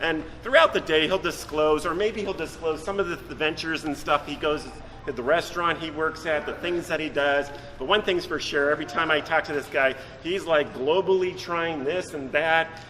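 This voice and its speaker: A chatty man with a somewhat high voice introduces a guest casually, rambling a little. The sound carries a long echo, and faint outdoor noise sits underneath. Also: normal speed, hardly any pauses, bright, forceful tone. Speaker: host introducing the guide.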